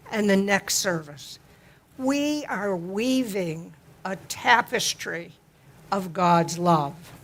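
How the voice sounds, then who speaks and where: A woman addresses a church congregation in an unhurried, deliberate way, lingering over her words. She speaks in a middle range that she herself calls low, with a plain, homespun manner. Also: a bit bright. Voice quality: describes her own voice as low. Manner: slow, deliberate, homespun delivery. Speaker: church member speaking at a worship service. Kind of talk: gentle spoken reflection and hymn lead-in. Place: church sanctuary.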